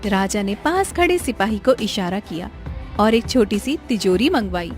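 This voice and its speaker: A woman's voice: mid-range voice, expressive, normal speed, darkish tone. A woman reading scripted narration for a cartoon moral story. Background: background music.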